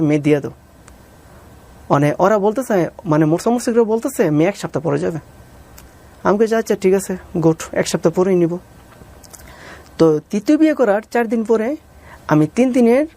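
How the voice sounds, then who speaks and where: A man's voice: sits high, animated, moderate pace, pauses often, warm tone. A man with a Bangladeshi accent telling his own story as an interview guest, deferential and re-telling conversations as he goes. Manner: deferential, calls the interviewer sir. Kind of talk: personal storytelling interview with reenacted dialogue.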